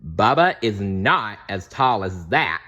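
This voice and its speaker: A man voicing an alert, helpful male sidekick in a storybook, explaining things loudly and clearly. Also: fairly low pitch, big pitch swings, takes it slowly, muffled recording, full character voice. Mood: insistent. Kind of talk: read-aloud storybook character.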